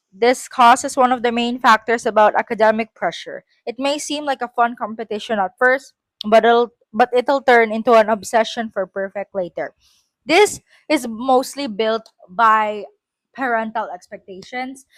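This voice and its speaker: A woman's voice: fairly high pitch, moderate pace, no echo, poor audio. A woman with a Filipino accent reads her own school essay aloud, breaking off for chatty, self-correcting asides.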